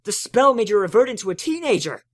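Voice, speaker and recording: A man voicing an excitable young male baby dragon who scolds and exclaims with dramatic indignation. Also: high voice, fast talker, no room sound, voice pushed up. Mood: shocked, accusing.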